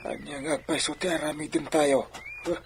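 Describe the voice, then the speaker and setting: A man as an older father in a radio drama, high-pitched and grumbling. He hums and hesitates, reluctant to act, over noticeable background noise. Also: flat delivery, moderate pace, close to an everyday voice. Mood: hesitant, grumbling.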